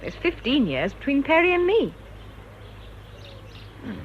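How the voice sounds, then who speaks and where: A woman's voice: sits high, big pitch swings, measured pace. An actress playing a self-assured, worldly English woman in a radio drama, teasing and probing as she talks. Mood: teasing, inquisitive. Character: brisk, inquisitive, worldly married woman in television. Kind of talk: British radio drama acting. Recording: dull, noisy old radio drama recording.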